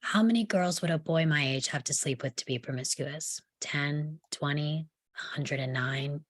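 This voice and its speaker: A woman's voice: deep voice, moderate pace. A woman playing a defiant, sardonic young psychiatric patient in a screenplay table read, with a guarded, dry, challenging delivery. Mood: provocative, guarded.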